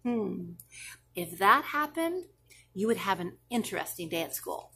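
A woman's voice: medium pitch, big pitch swings, measured pace, bright, forceful tone, a little hiss or hum, heavily acted. A woman voicing a patient, playful mother who reassures her child with thoughtful answers in a children's storybook. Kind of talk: expressive children's storybook reading.

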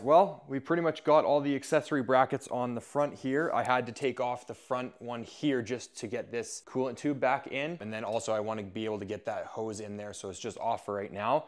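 A man in his thirties with a North American accent, narrating a casual vlog to viewers in an informal, upbeat way. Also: medium pitch. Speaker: host of a truck build channel.

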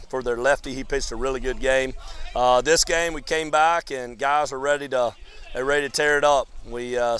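Smooth-voiced man coaching baseball. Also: on the high side. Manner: relaxed, rambling with many fillers and restarts. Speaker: college baseball head coach. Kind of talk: postgame interview. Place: outdoors on a baseball field. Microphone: lapel mic.

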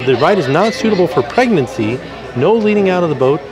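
A man's voice: high voice, expressive, brisk, music in the background, a crowd in the background. A man giving informative vlog commentary outdoors at a theme park. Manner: informative, reading rules aloud. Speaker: vlogger.

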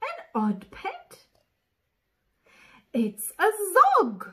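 A woman's voice: fairly high pitch, wildly swooping pitch, very slow, a bit bright. A young woman reading a picture book aloud to small children in an exaggerated, playful way, with sound effects. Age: young adult. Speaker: children's storyteller.

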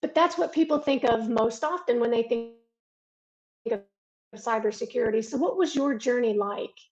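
High-voiced woman moderating a video panel. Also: normal speed. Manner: encouraging, asks guests questions. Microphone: video call. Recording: dull lo-fi video call audio.

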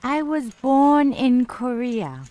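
A woman voicing a friendly young woman in a scripted dialogue for language learners, speaking slowly and carefully. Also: high-pitched, lively intonation, dark tone, no echo. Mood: calm. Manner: slow, carefully spoken.

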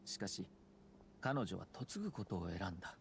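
A man voicing a courteous, calculating young clan head in a Japanese anime game, smooth, formal and measured. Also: deep voice, very animated. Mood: composed, serious. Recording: game music underneath.

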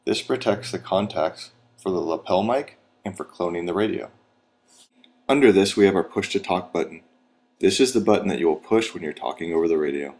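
A man's voice: sits low, expressive, fairly quick, a little mellow. A man reading scripted instructional narration in a plain, step-by-step, procedural manner.

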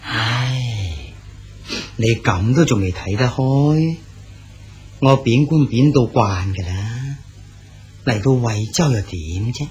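A man's voice: mid-range voice, takes it slowly, pauses often, soft background music. An actor playing an exiled male poet official in his sixties, easygoing and consoling in a radio drama. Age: 60s. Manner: calm, good-humoured, consoling.

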